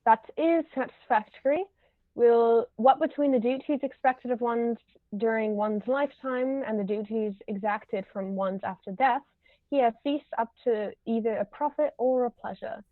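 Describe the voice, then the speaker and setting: Imperious society matriarch played by a woman, high-pitched and pompous, stage comedy read-through, roomy muffled sound. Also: moderate pace, close to an everyday voice. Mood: pompous, judging. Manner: pompous, formal, pronouncing judgments. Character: imperious, haughty older aristocratic English lady, snobbish interrogator. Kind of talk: amateur table reading of a stage comedy. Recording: roomy, muffled low-quality recording.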